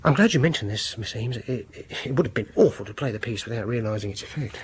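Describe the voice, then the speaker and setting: A man's voice: mid-range voice, lively intonation, fast, close to an everyday voice. An actor playing a fussy, solicitous host of music gatherings in a radio horror drama, a British man with a hesitant, polite, precise manner. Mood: hesitant, coaxing. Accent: British English.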